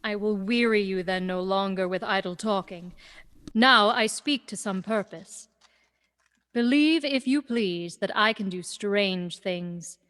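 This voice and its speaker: A woman playing a self-assured young woman disguised as a youth in a Shakespearean radio play, brisk and declamatory. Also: medium pitch, fairly bright tone, natural voice. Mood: confident, purposeful. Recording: long echoing reverb.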